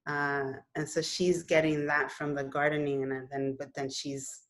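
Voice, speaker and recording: A woman's voice: low-pitched, level tone, a little slow, fairly bright tone. A woman in her thirties from Puerto Rico, raised in New York City, talking thoughtfully about her film on a video call from her living room, with many "you know"s and "um"s. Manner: gestures with her hands while talking. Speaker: filmmaker being interviewed. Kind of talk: reflective casual interview answers. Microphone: laptop webcam on a video call.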